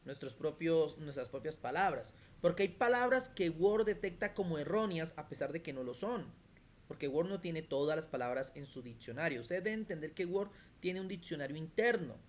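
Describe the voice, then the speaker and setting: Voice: medium pitch, animated, normal speed. Teacher checking in constantly. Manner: explanatory, checks in often with "listo?" and "ok?"; thinks aloud with restarts while demonstrating on screen. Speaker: computer skills teacher. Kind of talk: online computer class lecture.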